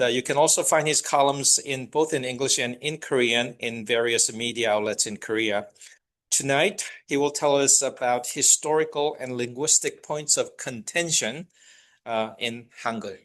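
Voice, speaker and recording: A man's voice: mid-range voice, normal speed, fairly bright tone. A man hosting a lecture evening, introducing the guest speaker with many hesitations. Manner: hesitant, many fillers, reading out credentials.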